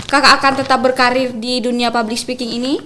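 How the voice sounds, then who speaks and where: A young woman with a fairly high voice hosts a student podcast. She asks questions in casual chat and chimes in agreeably as she listens. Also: level tone, fast talker, bright, forceful tone, slight background noise. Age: young adult. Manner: agreeable, often chiming in.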